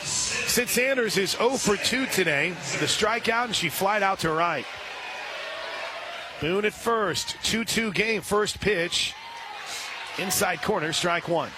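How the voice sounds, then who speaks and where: High-pitched American man calling softball on radio. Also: lively intonation, slow pace, long pauses, noisy background. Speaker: radio play-by-play sports announcer. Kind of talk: live softball play-by-play commentary with sponsor reads.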